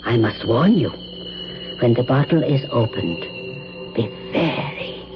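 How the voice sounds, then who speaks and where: Grave dealer in dark remedies, formal and measured, old radio play, dull sound over prominent music. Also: male voice, medium pitch, very animated. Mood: grave warning. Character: grave, cautioning mystic advisor dealing in dark remedies. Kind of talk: radio drama acting.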